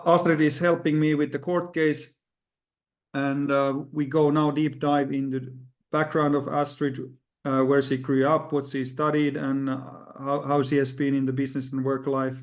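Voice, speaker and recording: A man from Finland hosting an interview over a video call, speaking haltingly with many fillers. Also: medium pitch, little pitch movement. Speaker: host.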